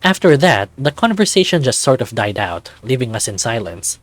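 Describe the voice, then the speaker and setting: A man's voice: medium pitch, very animated, moderate pace, dry recording. A man reading a visual novel aloud as its player, mixing scripted narration with casual asides.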